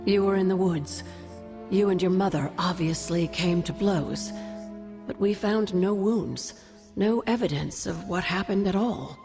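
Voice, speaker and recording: An actress playing a wry older sorceress mentor in a game cutscene, measured and knowing, with fond teasing. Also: female voice, fairly low pitch, long reverb tail, over music. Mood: solemn, knowing. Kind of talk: game cutscene dialogue, expository storytelling.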